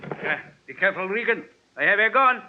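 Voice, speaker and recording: An actor playing a nervous, blustering crooked racetrack swindler in 1940s radio melodrama style. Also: male voice, sits high, expressive, brisk. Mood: nervous, threatening. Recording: muffled, very noisy old radio recording.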